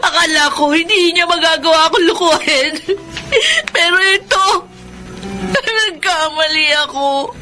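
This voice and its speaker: A woman's voice: very high-pitched, measured pace, pushed and bright, soft background music, exaggerated voice. An actress playing a heartbroken young woman in a Filipino radio drama, tearful and melodramatic. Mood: tearful, betrayed. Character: heartbroken young woman betrayed by boyfriend and best friend.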